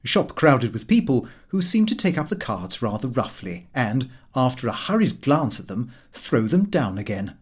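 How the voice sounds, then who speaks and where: A man narrating a comic diary audiobook in an expressive, fussy and aggrieved theatrical manner. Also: medium pitch, normal speed, hardly any pauses, slightly rough.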